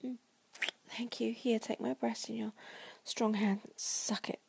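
A woman in an intimate erotic audio roleplay, speaking to the listener in a breathy, often whispered voice with a sultry, coaxing manner. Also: a little high, flat delivery, a little slow, darkish tone, dry recording.

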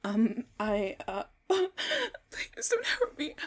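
An actress as a creaky-voiced, foul-mouthed young woman. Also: medium pitch, fairly bright tone, dry recording, clean studio sound. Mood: frightened, pleading. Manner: halting, informal, swearing. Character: foul-mouthed young woman, casual with friends, pleading when threatened. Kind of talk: solo audio roleplay drama, one side of dialogue.

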